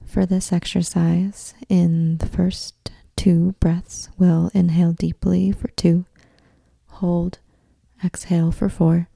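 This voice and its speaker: A woman guiding a meditation in a soft, breathy near-whisper, with soothing, measured delivery. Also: lowish voice, little pitch movement, no room sound. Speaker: yoga nidra meditation guide. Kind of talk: guided meditation.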